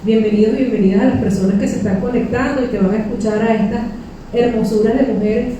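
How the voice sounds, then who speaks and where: A woman hosts a live talk with a soft-edged, mellow tone. She speaks informally and haltingly, stretching and repeating words as she goes. The sound is strongly echoey and distant from the microphone, with a little noise underneath. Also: fairly high pitch, steady tone. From Venezuela. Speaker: host and moderator of a panel discussion. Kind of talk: informal spoken introduction to a live public talk. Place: indoors in a library event space. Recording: very echoey room, distant mic, faint noise.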